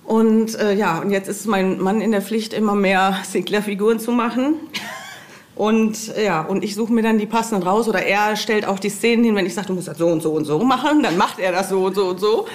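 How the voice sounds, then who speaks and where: A woman's voice: medium pitch, brisk. A middle-aged woman talking casually as a podcast guest, telling anecdotes in an amused, meandering, hesitant way.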